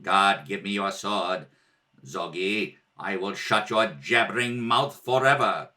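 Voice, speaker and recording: A man's voice: on the low side, slow pace, bright, forceful tone, voice pushed down, full character voice. A man playing a cruel, imperious space emperor in the manner of an old radio serial, menacing and commanding. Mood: threatening. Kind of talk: 1930s radio serial acting.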